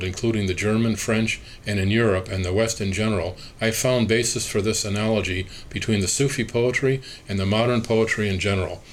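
Low-voiced man reading a transcript. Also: a little hiss or hum, rough recording. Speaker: reader of an oral history interview transcript. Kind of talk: reading an interview transcript aloud, speaker labels included.

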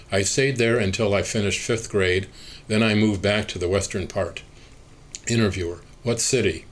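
Low-voiced man reading a transcript. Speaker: reader of an oral history interview transcript. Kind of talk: reading an interview transcript aloud, speaker labels included.

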